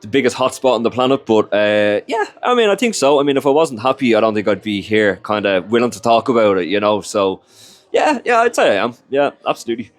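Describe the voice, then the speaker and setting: A man's voice: medium pitch, big pitch swings, fast. A young Irish man, whose first language is Irish, chatting casually in an interview and rambling with lots of fillers. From Ireland. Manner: rambling, heavy on "you know" and "like" fillers.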